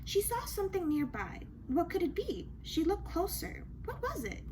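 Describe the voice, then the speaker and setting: A woman reading a children's story aloud in an expressive, dramatic way, with emphatic questions and asides. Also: extremely high pitch, normal speed, background noise. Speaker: storybook reader.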